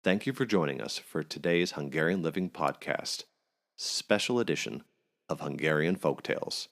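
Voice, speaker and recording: A man's voice: a bass voice, normal speed, fairly bright tone. A man narrating a scripted folk tale aloud as a podcast storyteller.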